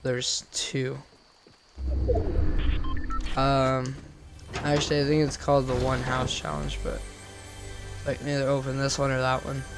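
A man commentating casually as he streams a game, hoarse and losing his voice from being sick. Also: medium pitch, unhurried, pauses often. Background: game music.

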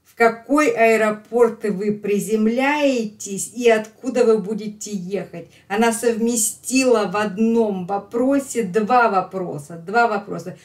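A middle-aged woman with a Russian accent teaching English, explaining line by line and repeating phrases emphatically for learners. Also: a little high. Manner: slow, emphatic repetition for learners. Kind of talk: lesson explaining a phone call line by line.

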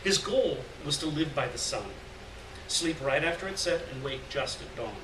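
A man's voice: on the high side, animated, normal speed. Middle-aged man reading aloud in echoey room. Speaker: story reader. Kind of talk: live literary reading, scripted narration. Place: indoor gallery room, public reading. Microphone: stand mic at lectern, picked up by far camera. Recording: roomy, distant mic, noticeable noise.

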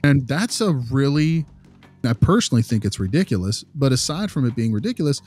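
Man, relaxed dark tone, casual podcast talk, opinionated, emphatic, faint music behind. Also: medium pitch, a little fast, husky. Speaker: podcast co-host, behavior analyst. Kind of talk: casual discussion.

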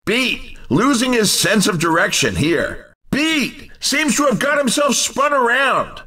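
An actor playing an excitable, wisecracking male commentator in a racing video game, with a playful sports-announcer delivery. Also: very high-pitched, slow, distant mic, exaggerated voice. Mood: excited, puzzled. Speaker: race commentator.

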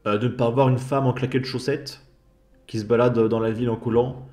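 A man's voice: mid-range voice, fairly even intonation, fairly quick, darkish tone, soft background music. A man hosting a commentary channel, talking over video clips in a sarcastic, mocking voice-over.

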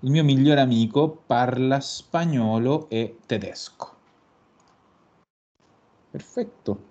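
A man teaching Italian in an online class, patient and explaining slowly, repeating words. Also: mid-range voice, a little mellow. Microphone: video call. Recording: dull lo-fi call audio.

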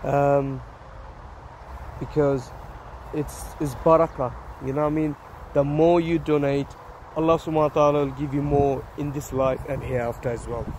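A slightly hoarse man in his thirties, vlogging casually on a phone in an open park, talking haltingly with many fillers and restarts. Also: fairly high pitch, steady tone, a little mellow, rough recording. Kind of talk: casual selfie vlog. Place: open grassy park outdoors. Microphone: phone held at arm's length. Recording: outdoor phone audio with wind and traffic noise.

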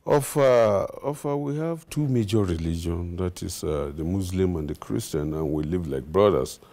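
A Nigerian man, a traditional ruler, answering interview questions in a halting way with many fillers. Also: low voice, mellow.

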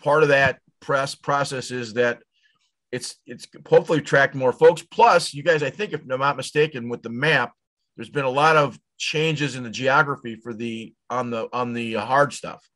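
A man in his sixties hosts an interview over a video call, asking long, rambling questions padded with fillers. His voice sits at an everyday middle pitch. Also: moderate pace, a bit bright. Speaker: show host.

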